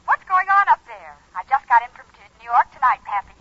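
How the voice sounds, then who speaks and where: Brash, animated bossy telephone caller. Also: female voice, high-pitched, quick pace. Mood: brash, demanding. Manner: brash, insistent. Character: bossy young lady, brash and demanding on telephone. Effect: telephone filter. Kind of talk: 1940s radio comedy acting. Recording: tinny telephone effect, old radio.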